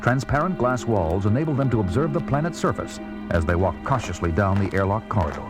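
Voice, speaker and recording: Lowish male storyteller over loud music. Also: very animated, fairly quick. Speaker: narrator. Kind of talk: scripted story narration, audio drama. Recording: loud music behind narration.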